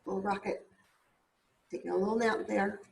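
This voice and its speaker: A woman's voice: medium pitch, steady tone, normal speed, creaky. A woman reading a picture book aloud to young children, expressively, with casual asides to her listeners. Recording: roomy, echoing indoor sound.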